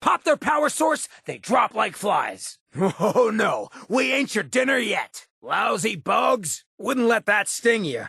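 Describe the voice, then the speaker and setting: A sardonic ghoul outlaw from a video game, with a heavily rasping, creaky voice. He is sly, laid-back and streetwise, a swaggering town boss who talks in slang. The sound is close and dry. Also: sits high, sing-song, slow, few pauses, pushed and bright, dry recording, heavily acted. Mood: combat taunting. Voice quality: gravelly, raspy. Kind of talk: video game companion voice lines.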